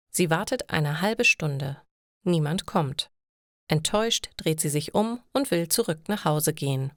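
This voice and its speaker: A woman reading a short, scripted story for language learners, phrasing it carefully and clearly. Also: low voice, no echo, clean studio sound.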